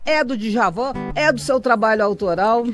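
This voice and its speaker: A woman's voice: a little high, lively intonation, normal speed, over music. A woman hosting a live radio talk show in a studio, introducing guests with playful banter. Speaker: radio host. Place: radio studio with faint music behind.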